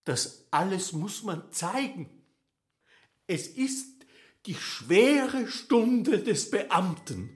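A nervous bureaucrat in a literary radio drama. His voice sits fairly high and swings widely in pitch. He is excitable and theatrical, drawing out his emphatic phrases. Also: very slow, full character voice. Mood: rapt, solemn. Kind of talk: German literary radio play acting.

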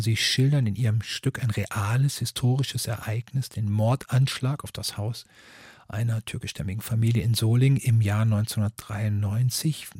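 A man's voice: on the low side, a little mellow, husky, no echo, clean studio sound. A man hosting and interviewing in a formal, prepared manner. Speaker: host, interviewer.

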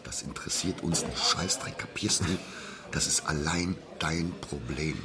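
An actor playing a menacing, mocking thug in a radio drama, crude and taunting. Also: male voice, extremely low pitch, moderate pace. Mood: contemptuous. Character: menacing, sneering male thug demanding money. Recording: crowd chatter behind the voice.

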